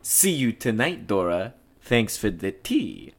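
A woman's voice: a bass voice, very animated, slow pace, voice pushed down, full character voice. A woman reading the part of a boastful, loyal, dim male beat cop in a play, with folksy, self-important, ungrammatical speech. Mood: cheerful. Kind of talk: script read-through of a play.

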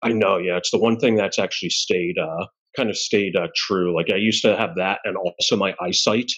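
A man's voice: deep voice, steady tone, normal speed, a bit bright, slightly rough. A man in his forties bantering casually in a self-deprecating, joking way as a podcast host. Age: 40s. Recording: dull, lo-fi audio.

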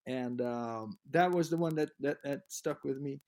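A man from Europe, a guest recalling comics in a halting way with many restarts, laughing easily. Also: mid-range voice, darkish tone. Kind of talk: casual interview chat.

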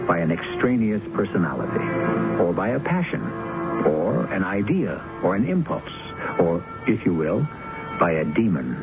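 A man hosting a radio drama with scripted commentary in a measured, grave, philosophical manner. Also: deep voice, big pitch swings. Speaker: host. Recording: muffled vintage radio broadcast with music.

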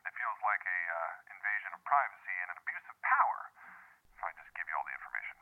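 Low-voiced policeman on a tinny phone line. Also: big pitch swings, fast, heavily acted. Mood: hesitant, conflicted. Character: male police officer, friendly, conscientious, slightly conflicted. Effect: telephone filter. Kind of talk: naturalistic audio drama dialogue.

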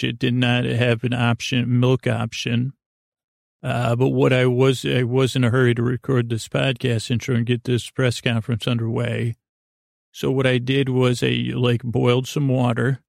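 A man hosting a bedtime podcast in a halting, meandering, comedic monologue that keeps circling back and drifting into digressions. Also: mid-range voice, creaky, no room sound, studio quality. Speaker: podcast host.

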